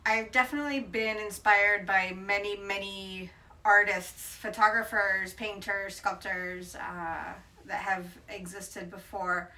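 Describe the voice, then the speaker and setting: A woman's voice: medium pitch, steady tone, slow, pushed and bright, recorded from a distance, poor audio. A woman in her forties from Colombia, answering interview questions thoughtfully with frequent hesitations. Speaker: interviewed photographer. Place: bright room by a window, indoors.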